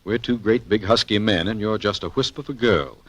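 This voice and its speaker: An actor playing a suave, unflappable American playboy detective, wry and bantering, in an old-time radio drama. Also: male voice, lowish voice, a little fast, no room sound, natural voice. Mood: cool, wry.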